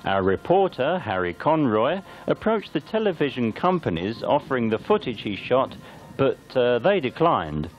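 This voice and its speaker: A man's voice: medium pitch, big pitch swings, normal speed. A man narrating a local amateur newsreel from a script. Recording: band-limited, dull lo-fi videotape sound.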